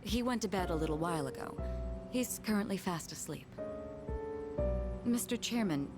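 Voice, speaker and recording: A woman's voice: medium pitch, expressive, normal speed, natural voice. A woman voicing a composed, dutiful young student leader in a video game, speaking formally. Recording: loud music behind the voice.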